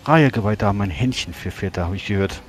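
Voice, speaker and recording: Man playing a low-voiced, naive, genteel gentleman. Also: expressive, brisk, close to an everyday voice. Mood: genteel, hesitant. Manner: hesitant, genteel, prim phrasing. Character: naive, well-meaning, sheltered gentleman adventurer. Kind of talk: in-character tabletop roleplay. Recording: music behind.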